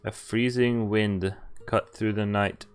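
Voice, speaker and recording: A man with a clear, smooth voice is streaming a story game. He reads the text aloud, sometimes hesitantly, and slips into casual, amused commentary over faint music. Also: on the low side, steady tone, takes it slowly. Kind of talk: reading a visual novel aloud with casual commentary.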